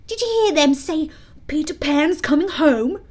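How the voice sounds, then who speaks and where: A woman's voice: high voice, a little slow, a little mellow, voice pushed up. A woman voicing a simple, chatty, eager male pirate sidekick in a storybook, guileless and singsong.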